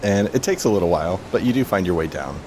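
Male game master, low register, loose improvised storytelling, noticeable rumble in the background. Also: quick pace. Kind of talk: improvised tabletop game narration. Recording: clear steady rumble behind voice.

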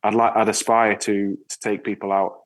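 A man from Yorkshire with a northern English accent, talking casually as an interview guest in a rambling, halting way with repeated words. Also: lowish voice, little pitch movement. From Yorkshire, England. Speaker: interview guest, ocean rower and spearfisher. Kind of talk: casual conversational storytelling.

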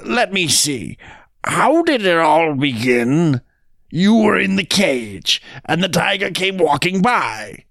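A man's voice: fairly high pitch, big pitch swings, slow pace, creaky, a bit bright, no room sound, studio quality, voice pushed up, heavily acted. A man voicing a sly jackal in a storybook tale who plays muddled and dim, hesitant and plaintive. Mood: flustered, pleading. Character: sly trickster jackal feigning muddled, dithering stupidity. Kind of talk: storybook character reading.

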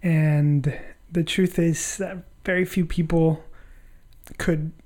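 A man from Honduras, a podcast guest reflecting on his life in halting, conversational phrases. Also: fairly high pitch, fairly even intonation, a little mellow. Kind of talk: reflective personal storytelling in conversation.

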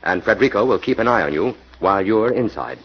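An actor playing a confident crime-fighting hero who gives brisk orders, in a 1940s radio drama with a lo-fi sound. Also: male voice, fairly low pitch, animated, husky. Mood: commanding. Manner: brisk, commanding.

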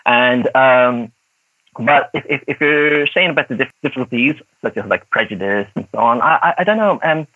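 A man in his thirties with a light, mixed Irish accent and a bright, forward voice, who grew up speaking Cantonese at home. He answers podcast questions in a loose, rambling way, full of "like" and "uh" fillers and frequent laughter. The sound is dry and close, with no room around it. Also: medium pitch, normal speed, no room sound, poor audio. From Dublin, Ireland. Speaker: guest, actor and martial artist. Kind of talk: casual podcast interview.

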